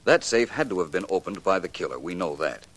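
A gentleman sleuth in a vintage radio mystery. His voice is deep, and he speaks in an urbane, measured, precise way, never flustered. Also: big pitch swings, exaggerated voice. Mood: deliberate, puzzled. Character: suave, unflappable gentleman detective. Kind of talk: 1940s radio detective drama acting.

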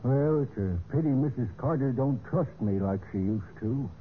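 An actor playing a resentful, folksy caretaker with ungrammatical, grumbling speech in an old radio mystery. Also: male voice, medium pitch, lively intonation, moderate pace, lightly acted. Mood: suspicious, grudging. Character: resentful, folksy male caretaker fond of drink. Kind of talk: 1940s-50s radio character acting. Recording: tinny, faintly noisy vintage radio recording.

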